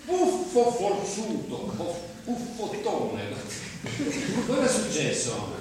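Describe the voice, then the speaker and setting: A man's voice: high voice, huge pitch swings, slow pace, exaggerated voice. An actor playing a smug male job-agency recruiter, talking in corporate jargon and chuckling, in a live stage play captured from far off with echo and noise. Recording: distant echoey stage with noise.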